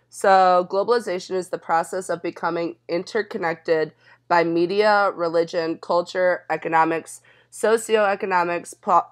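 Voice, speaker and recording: Young woman, fairly low pitch, student interviewer, informal, reassuring, casual question-asking style. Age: young adult.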